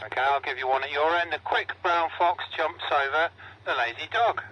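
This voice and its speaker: A man's voice: on the high side, moderate pace, dull audio. A man talking casually through a walkie-talkie radio during a test, as host. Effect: heard through walkie-talkie radio. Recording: walkie-talkie speaker sound, faint noise. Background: faint noise.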